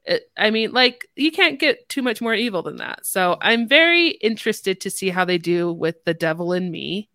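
Bright-voiced woman podcast host. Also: medium pitch, sing-song, normal speed, hardly any pauses, dry recording, studio quality. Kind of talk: casual podcast chat.

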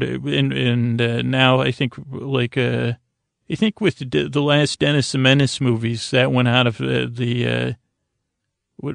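Gravelly, meandering man podcasting for bedtime. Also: medium pitch, no echo. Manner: halting, meandering, self-interrupting with many restarts. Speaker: podcast host. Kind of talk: rambling bedtime monologue to keep listeners company.

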